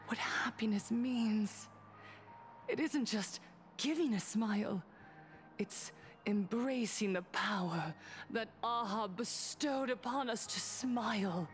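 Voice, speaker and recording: An actress playing a fervent female cult preacher of happiness in a video game, solemn and theatrical, with breathy whispered phrase endings. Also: a little high, slight background noise. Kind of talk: theatrical game voice acting, sermon-like speech.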